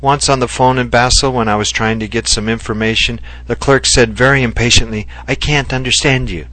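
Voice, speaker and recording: A man voicing a gentle, loving Japanese wife who speaks earnestly and reflectively. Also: medium pitch, fairly even intonation, fairly quick, dry recording, voice pushed up, lightly acted, rough recording. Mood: earnest, tender. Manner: earnest and heartfelt, softly reflective.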